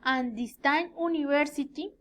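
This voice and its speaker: A woman in her twenties from Colombia with a Colombian Spanish accent, a native Spanish speaker haltingly giving a rehearsed self-introduction as a student, often searching for words. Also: sits high. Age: 20s. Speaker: student giving an oral presentation.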